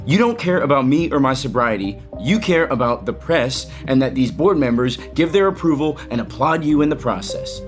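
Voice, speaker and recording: A man plays an irritable young chief executive in an audio soap opera. He is a defensive, sarcastic younger brother with a drinking habit, speaking at a medium pitch over faint music. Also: expressive, moderate pace, hardly any pauses, natural voice. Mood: angry, accusing.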